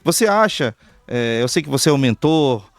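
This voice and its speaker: A man's voice: mid-range voice, lively intonation, moderate pace, no room sound. A Brazilian man hosting an interview, talking earnestly in long flowing sentences in a casual chat. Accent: Brazilian Portuguese. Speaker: talk show host and artist manager.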